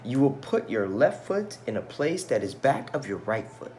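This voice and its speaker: A man voicing a solemn old wise man from a storybook, giving deliberate, emphatic instructions. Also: mid-range voice, very animated, darkish tone, close to an everyday voice. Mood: solemn, instructing.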